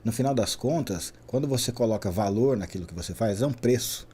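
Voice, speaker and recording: A Brazilian man in his forties speaks as a podcast guest, with a mellow, somewhat husky voice. He tells his story in an animated, chatty way, often checking in with a quick question. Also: mid-range voice. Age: 40s. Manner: animated, frequent "né?" tags. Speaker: guest, traffic safety specialist. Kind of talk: relaxed storytelling interview.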